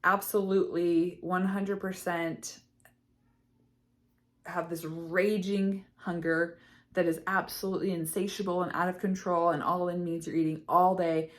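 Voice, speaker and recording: Recovery coach, woman, a bit hoarse, lower pitch, earnest chatty delivery. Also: level tone, unhurried. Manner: earnest, many fillers and restarts. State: slightly hoarse, losing her voice. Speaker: eating disorder recovery coach. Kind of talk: casual talk to camera.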